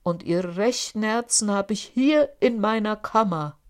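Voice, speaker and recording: A woman voicing a boastful, greedy male giant in an audiobook, speaking loudly and scornfully. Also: a little slow, lightly acted. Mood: insistent. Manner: loud, booming, scornful. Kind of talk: audiobook character voice.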